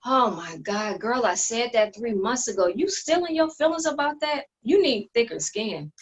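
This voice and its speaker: A woman's voice: a little high, expressive, normal speed, lightly acted. A woman acting a haughty, dismissive sister with a mocking, condescending delivery in a dramatic play reading. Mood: exasperated, dismissive.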